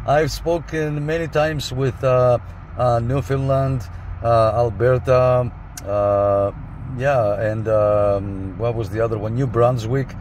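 A man's voice: mid-range voice, fairly even intonation, takes it slowly, a little mellow. Guy on cb radio in his car chatting casually, bit of engine noise behind. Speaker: CB radio operator, host. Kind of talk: casual radio chat to camera. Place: inside a parked minivan outdoors. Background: faint vehicle and outdoor noise.

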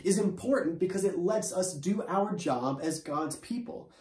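A young man leading a school chapel from home, reading scripture calmly and singing playful children's songs with guitar. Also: sits high, moderate pace. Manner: playful, animated when singing, calm and plain when reading. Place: living room, seated on a couch. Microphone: camera in front, upper body framing. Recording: roomy home recording with guitar.

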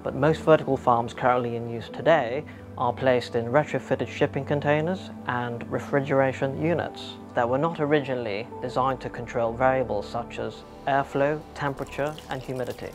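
A man's voice: medium pitch, steady tone, normal speed. A man delivering scripted promotional narration as a company spokesman. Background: music.

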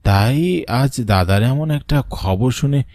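A man's voice: medium pitch, lively intonation, slow, a little mellow, no echo. A man voicing a devoted younger brother who narrates a cartoon story in an emotional, literary, sorrowful way. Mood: shocked, grieving. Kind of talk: dramatic first-person cartoon narration.

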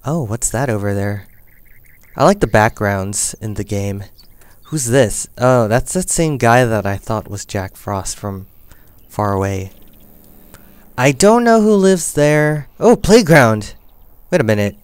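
A man's voice: mid-range voice, animated, measured pace, clear, resonant tone, no room sound. A young man casually commenting on his life-simulation game as he plays, thinking aloud and making choices. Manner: chatty, thinking aloud, counting and deciding as he plays. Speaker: gaming channel host. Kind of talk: casual let's-play commentary.

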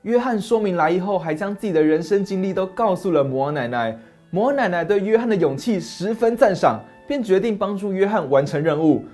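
A man in his thirties narrating a fairy tale and explaining it, steady and expressive. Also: sits high, brisk, soft background music. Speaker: storyteller host. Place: dim study set, indoors. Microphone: lapel mic.

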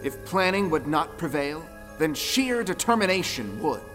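A man narrating a folktale audio play with dramatic, expressive storytelling. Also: a little high, moderate pace. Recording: long reverb, music underneath.

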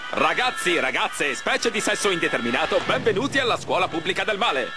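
High-pitched singsong villainous announcer. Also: male voice, big pitch swings, quick pace, over loud music. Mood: gleefully mocking. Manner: showy, singsong, mocking. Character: cheerily cruel announcer of an evil school. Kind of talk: parody promotional film narration, Italian cartoon dub.

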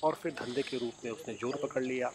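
A man giving measured, scripted documentary narration as a travel vlogger. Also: mid-range voice, a crowd in the background. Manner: measured, storytelling delivery.

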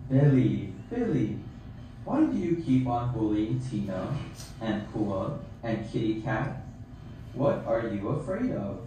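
Man acting measured Jesus, gently admonishing, puppet show, very echoey, noticeable noise. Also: fairly low pitch, very animated. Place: theater, camera far from puppet stage. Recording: distant echoey theater recording, clear noise.

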